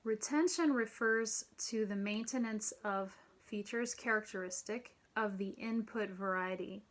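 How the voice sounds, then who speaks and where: A middle-aged woman lecturing at a slow, unhurried pace and a middle pitch. She leans on frequent ums and clears her throat now and then while explaining from a room at home. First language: English. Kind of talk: recorded online lecture. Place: home room with children's drawings on the wall.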